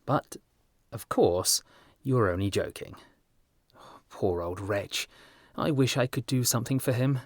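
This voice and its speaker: A man voicing an earnest, excitable, easily embarrassed young gentleman in an audiobook, plaintive and impulsive. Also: mid-range voice, unhurried, long pauses, no echo, clean studio sound, natural voice. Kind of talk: audiobook character dialogue.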